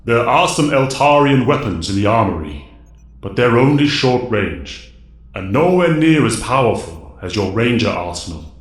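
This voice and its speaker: An actor playing a grave, wise mentor of heroes in an audio drama. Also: male voice, medium pitch, very animated, moderate pace, faint music behind, lightly acted. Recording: echoing, roomy sound.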